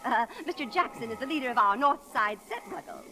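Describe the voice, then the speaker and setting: An actress playing a fussy, affected society wife with social pretensions in a 1930s radio comedy. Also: female voice, fairly high pitch, animated, a little fast, bright, forceful tone, soft background music. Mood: proud, genteel. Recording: noisy vintage radio broadcast.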